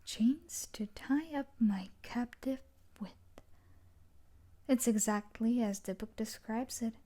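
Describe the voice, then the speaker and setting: A woman playing an obsessive yandere stalker from a dark romance book in an intimate audio roleplay, breathy and coaxing with quiet menace, often dropping into a whisper. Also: fairly high pitch, slow pace. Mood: smug, possessive.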